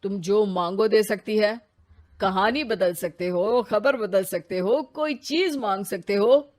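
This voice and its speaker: A woman's voice: medium pitch, slow pace. A woman voicing a cheeky, chatty, easily offended male newsreader inside a magic TV, in a playful cartoon story. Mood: boastful. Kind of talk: Hindi cartoon story acting.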